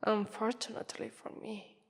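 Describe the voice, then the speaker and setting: A haughty female vampire , acted by a woman, speaks in long, cavernous reverb. Her Italian-accented voice is slightly high and husky, often whispered. She taunts with condescending, predatory superiority, in the manner of an ASMR roleplay. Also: flat delivery, normal speed. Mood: predatory, deliberating.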